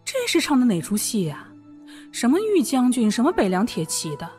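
A woman's voice: fairly high pitch, sing-song, fairly quick, dark tone, soft background music. An actress playing a baffled, plain-spoken woman of the martial world in a Chinese wuxia audio drama. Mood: puzzled.

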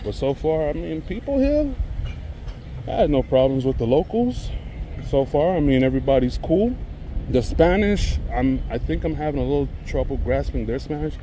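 A man's voice: a little high, animated, moderate pace, mellow, slight background noise, low-quality recording. An American man talking casually in a chatty, colloquial way as he films a walking travel vlog outdoors. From United States. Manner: laid-back, colloquial, chatty. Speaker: travel vlogger. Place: outdoors on town streets.

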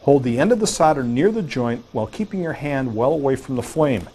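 A man hosting a home improvement show, giving plain, steady step-by-step instructions as he demonstrates the work. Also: mid-range voice, brisk, husky.

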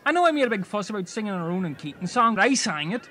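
A man's voice: high-pitched, very animated, very fast, background noise. A man playing a dim, petulant, foul-mouthed castaway in a silly comedy sketch. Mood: indignant. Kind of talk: scripted comedy audio sketch.

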